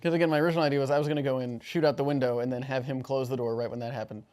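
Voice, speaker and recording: A man's voice: mid-range voice, steady tone, racing, a little mellow. A man chatting casually as a player after a tabletop game. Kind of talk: casual post-game chat.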